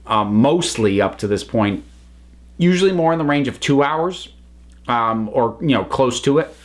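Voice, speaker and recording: A man's voice: medium pitch, fairly bright tone. A man giving a casual, unscripted review, chatty and rambling as the host.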